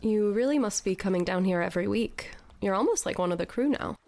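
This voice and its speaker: A woman's voice: mid-range voice, expressive, a little fast, darkish tone, no echo, lightly acted. Dry-miked, wisecracking sceptical young woman, played by an actress. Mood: playful. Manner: incredulous, colloquial, joking. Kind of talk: scripted audio drama acting.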